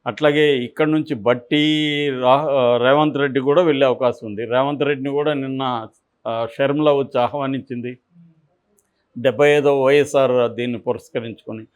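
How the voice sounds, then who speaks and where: A man's voice: mid-range voice, fairly even intonation, moderate pace, pauses often, clear, resonant tone, lo-fi sound. A man giving unscripted political analysis as a commentator, explaining conversationally.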